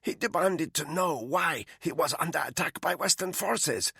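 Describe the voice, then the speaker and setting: A man voicing a captive woman spy who croaks and gasps for air as she is strangled. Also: low voice, lively intonation, moderate pace, a bit bright, no echo, clean studio sound, pitched above the performer's own voice, full character voice. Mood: strained, fearful. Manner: croaking, strained pleading. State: choking, gasping.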